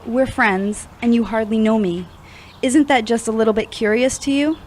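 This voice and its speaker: A woman's voice: a little high, moderate pace, a little mellow, a little hiss or hum, natural voice. A woman playing a troubled, earnest young woman in an audio drama, speaking confessionally. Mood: guarded. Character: troubled young woman opening up about past grief. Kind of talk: amateur audio drama acting.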